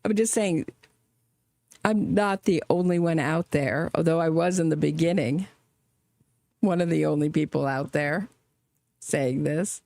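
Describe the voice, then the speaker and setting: Woman host, gravelly edge, dry close sound, sardonic running commentary on a courtroom feed. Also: sits low, a little slow, pauses often, a little mellow, no room sound. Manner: chatty, sardonic, laughs often. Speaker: true crime commentator. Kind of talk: casual live trial commentary.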